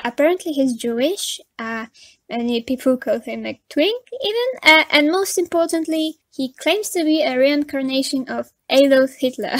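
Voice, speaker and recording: A woman's voice: fairly high pitch, no room sound. Polish woman, frank chatty commentary. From Poland. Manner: frank, chatty, with nervous laughs and many fillers. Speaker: commentary YouTuber. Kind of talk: casual commentary over a drawing video.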